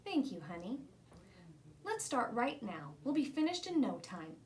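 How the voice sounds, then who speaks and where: A woman's voice: on the high side, sing-song, measured pace, darkish tone. A woman reading a children's storybook as a wise, patient old grandmother, fond and proud, speaking in a folksy dialect. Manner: folksy dialect with ain't and gonna. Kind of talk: children's storybook read-aloud.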